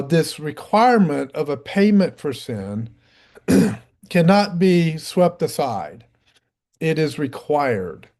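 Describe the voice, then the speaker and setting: A man explaining theology off the cuff in a deliberate, didactic way, with halting pauses and a habit of clearing his throat. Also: fairly high pitch, a little mellow. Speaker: religious teacher.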